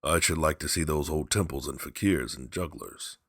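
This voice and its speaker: A man's voice: very deep voice, moderate pace, a bit bright, no room sound, clean studio sound, full character voice. A man voicing a grey-bearded old father in a storybook, blustery, curious and emphatic.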